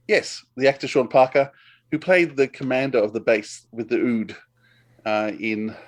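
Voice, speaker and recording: Man chatting as a podcast co-host. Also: mid-range voice. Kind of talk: casual chat recommending audio dramas.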